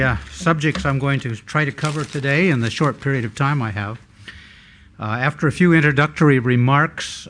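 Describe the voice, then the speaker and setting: An older man giving a conference presentation as a researcher, speaking in a measured, halting way with frequent pauses. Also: mid-range voice.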